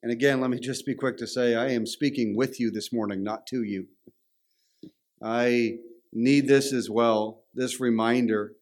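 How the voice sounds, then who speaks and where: A man with a Canadian accent preaching a sermon in a conversational, storytelling way. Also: mid-range voice. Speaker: pastor.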